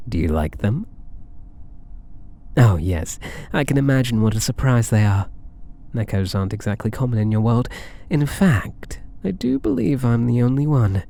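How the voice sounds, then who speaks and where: A man's voice: mid-range voice, big pitch swings, normal speed, vocal fry, mellow. A British man voicing a possessive, smug, doting catboy cat burglar in an intimate ASMR roleplay, coaxing and teasing with theatrical endearments. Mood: playful, smug.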